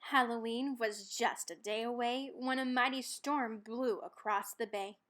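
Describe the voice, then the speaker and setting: High-voiced woman reading a children's storybook. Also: unhurried. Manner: expressive, dramatic emphasis on rhymes and key words. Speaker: storybook reader. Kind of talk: children's picture book read-aloud.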